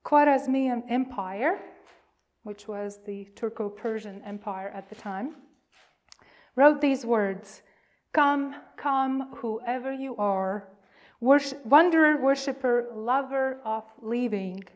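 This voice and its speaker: A woman minister leading worship, reading reverently in a measured, emphatic way with deliberate pauses. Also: a little high. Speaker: minister leading a church service.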